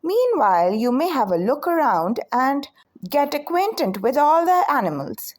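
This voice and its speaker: A woman reading the part of a brisk, authoritative male show director in a children's storybook. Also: sits high, very animated. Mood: instructing. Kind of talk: children's storybook read-aloud.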